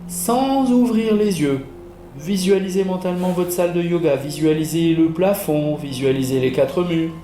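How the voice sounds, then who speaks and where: Slow, mellow man guiding relaxation. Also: on the high side. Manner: soothing, measured instructions with pauses. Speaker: yoga teacher. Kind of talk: guided relaxation meditation. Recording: roomy echo with music behind. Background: relaxation music.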